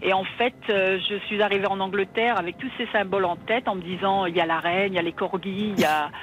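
A woman in her fifties from northern France calling a radio show, speaking in a halting, emotional way and repeating words. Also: mid-range voice, fairly even intonation, highs cut off, a little hiss or hum. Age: 50s. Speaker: caller. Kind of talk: call-in radio chat.

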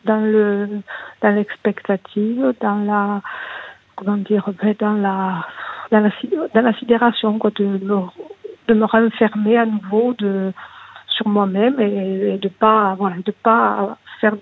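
A woman's voice: mid-range voice, flat delivery, slow pace. Hesitant woman caller on a phone line. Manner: hesitant, searching for words, many restarts. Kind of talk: personal confession on call-in radio. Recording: husky voice over a phone line.

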